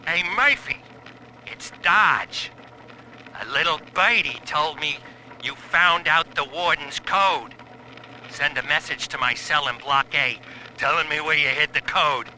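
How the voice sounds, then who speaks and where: A man's voice: on the high side, lively intonation, a little slow, bright, forceful tone, slight background noise, full character voice, poor audio. A man playing a scheming prison inmate in a video game audio log. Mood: sly, bargaining. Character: scheming male prison inmate, frantic when captive.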